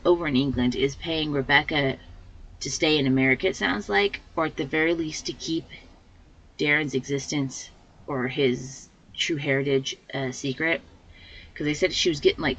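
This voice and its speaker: A woman with a slightly hoarse voice hosting a let's play, giving casual commentary and thinking aloud as she plays. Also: sits low, fairly bright tone, dull audio. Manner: rambling, thinking aloud with fillers.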